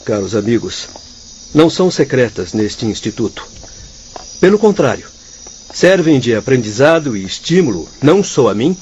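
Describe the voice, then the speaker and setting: A man's voice: mid-range voice, a little mellow, close to an everyday voice. A man playing a kindly, melancholy doctor spirit in a radio drama, speaking formally and earnestly. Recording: dull lo-fi audio with night ambience noise.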